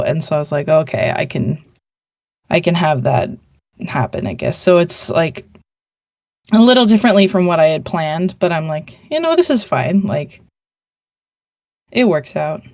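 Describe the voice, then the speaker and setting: A woman's voice: sits low, darkish tone, dry recording. Hesitant young woman, dry close sound. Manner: hesitant, many fillers and pauses. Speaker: script writer on a panel. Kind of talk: casual panel chat.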